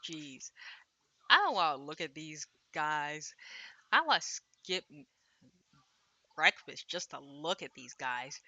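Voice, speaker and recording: A woman's voice: sits low, sing-song, slow, long pauses, pushed and bright, dry recording, dull audio. A woman streaming a visual novel, reading translated dialogue aloud and adding casual, slangy asides. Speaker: game streamer.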